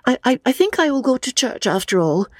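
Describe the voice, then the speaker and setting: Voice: high voice, very animated, a little fast, no room sound, clean studio sound, voice pushed up. A performer voicing an anxious, polite young woman who stammers and gasps in distress, as in a dramatic audiobook. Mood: flustered, apologetic. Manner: stammering, gasping. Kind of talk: dramatic audiobook character reading.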